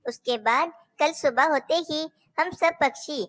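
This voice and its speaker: A woman's voice: high-pitched, unhurried, a bit bright. A woman voicing young female cartoon birds, caring and a little bossy, in a childlike, declamatory way. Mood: concerned. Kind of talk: Hindi animated children's story dialogue.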